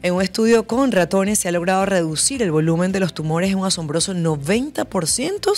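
A woman's voice: medium pitch, animated, fairly quick, darkish tone. A woman hosting a news chat show in a small studio, exclaiming and laughing often. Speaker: host. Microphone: studio mic on boom, wearing headphones.

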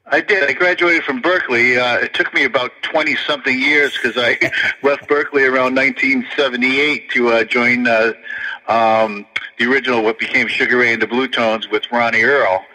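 A man's voice: mid-range voice, steady tone, moderate pace, bright, forceful tone, long reverb tail, rough recording. A man, a blues pianist telling stories in a rambling, filler-heavy way. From Connecticut, USA. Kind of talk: casual interview storytelling.

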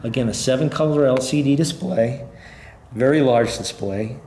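A man's voice: mid-range voice, moderate pace, a little mellow. A middle-aged man informally demonstrating a product step by step, in plain-spoken, unscripted talk. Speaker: company representative presenting a product. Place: indoor room at a tabletop. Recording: roomy echo, faint background noise.

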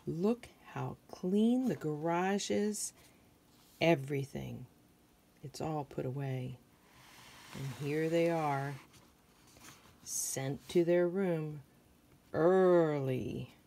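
American woman, middle-aged, children's storytime reader; low pitch with noticeable creak, asks the young listeners questions. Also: very slow, long pauses, mellow, a little hiss or hum. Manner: simple questions and observations addressed to children. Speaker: picture book reader for children. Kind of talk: read-aloud storytelling with asides to young listeners.

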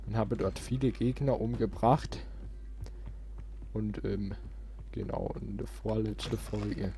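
A man's voice: on the low side, steady tone, takes it slowly, noisy background. A man chatting informally as he hosts a let's play, thinking aloud while he plays. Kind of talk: casual gaming commentary.

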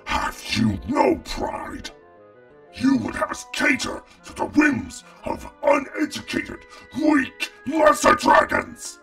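A man's voice: very high-pitched, huge pitch swings, slow, gravelly, fairly bright tone, exaggerated voice. A man voicing a proud, stern dragon father in a fantasy audio drama, with a scornful, dramatic delivery. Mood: indignant, scornful. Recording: distant mic with music behind.

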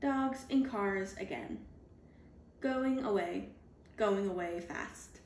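A woman reading a children's picture book aloud, deliberately, in clearly spaced phrases for young children, recorded indoors at home. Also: medium pitch. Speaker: storybook reader. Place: indoors at home, on a leather couch. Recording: roomy home recording with background noise.

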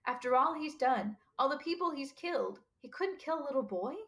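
A woman's voice: on the high side, expressive, moderate pace, fairly bright tone, pitched above the performer's own voice. A woman voicing a stern older female professor in an audiobook, with a clipped, emphatic, impatient manner. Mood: shaken, astounded. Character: stern older female professor, brisk, indignant, easily ruffled. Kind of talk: audiobook character dialogue.

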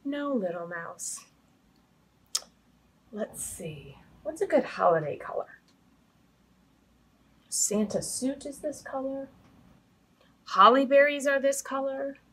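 An American woman, likely in her thirties, hosts children's storytime indoors with an expressive, sing-song delivery, speaking to young children. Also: sits high, takes it slowly, pauses often. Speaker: children's library storytime host. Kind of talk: children's storytime, interactive games and picture book reading. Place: small indoor room hung with fabric drapes.

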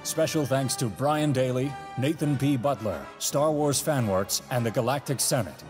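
A man with a mid-pitched voice reads as the narrator and announcer of an audio drama. The delivery is scripted and dramatic, with orchestral music playing behind him. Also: normal speed. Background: orchestral film music.

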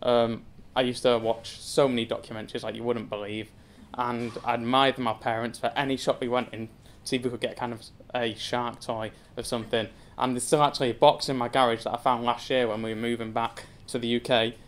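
A young man in his twenties from England, telling his story to an audience from a lectern, casual and self-deprecating with many filler ums. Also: medium pitch. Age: 20s. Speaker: zoo community engagement assistant giving a talk. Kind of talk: personal story talk to an audience. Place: indoor wood-panelled function room with seated audience. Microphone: speaking at a lectern.

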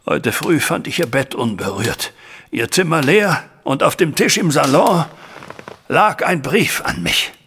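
An actor playing a distraught, wealthy older banker in a German audio drama, husky and agitated in his delivery. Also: on the high side, animated, measured pace, fairly bright tone, a little hiss or hum. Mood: despairing. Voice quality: husky, raspy.

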